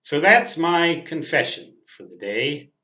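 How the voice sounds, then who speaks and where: An older man, a ham radio hobbyist, giving an unscripted monologue to viewers in deliberate, wordy, self-deprecating phrases. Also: fairly high pitch, big pitch swings, pushed and bright. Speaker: amateur radio operator and vlogger. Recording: dull, roomy, echoing sound.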